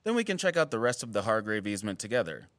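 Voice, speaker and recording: Low-voiced, wry wildlife biologist. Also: male voice, big pitch swings, racing, a bit bright, dry recording. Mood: earnest, conspiratorial. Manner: teasing, dry sarcasm. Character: wry, guarded wildlife biologist, earnest about protecting habitat. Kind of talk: naturalistic audio drama acting.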